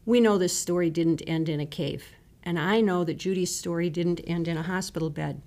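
Lower-pitched woman reading a devotion. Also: moderate pace, a little mellow. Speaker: devotional reader. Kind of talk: scripted scripture and reflection reading.

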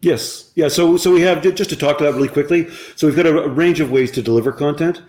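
A man's voice: a little high, fast, darkish tone. An eager man explaining his company's offerings in an interview. He often doubles his words and restarts sentences, pushing ahead with enthusiasm. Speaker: company representative. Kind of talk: conversational business interview.

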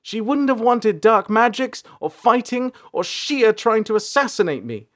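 A defiant young princess from a fantasy audiobook, far higher in pitch. The voice is impassioned and pleading, full of emotion and raised loud. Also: male voice, lively intonation, a little slow. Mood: defiant, upset. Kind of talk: dramatic fantasy audiobook character.

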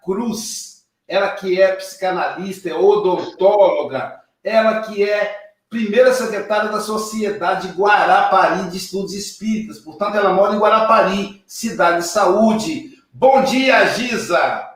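A man's voice: high-pitched, measured pace, few pauses. Effusive man, very echoey and distant. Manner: warm, effusive introductions. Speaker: host. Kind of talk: live online show hosting.

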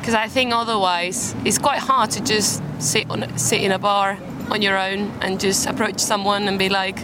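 Young woman from Spain, British accent, relaxed guest chat, outdoor park recording with traffic noise. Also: medium pitch, normal speed. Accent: British English. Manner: chatty, laughs easily, self-deprecating. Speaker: interview guest. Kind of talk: casual chat.

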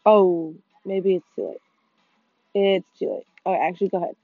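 A teenage girl co-hosting a casual gaming podcast with friends, talking fast, swearing and running herself down, with excited outbursts. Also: on the low side, no echo. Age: teens. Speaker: podcast co-host. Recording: muffled dull sound, faint music behind.

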